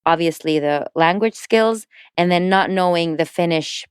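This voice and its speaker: Female podcast guest, fluent and informative, resonant low voice. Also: normal speed, clear, resonant tone, no echo, clean studio sound. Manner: fluent and explanatory, light laughs between words. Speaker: podcast guest, entrepreneurship network representative. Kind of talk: casual podcast conversation.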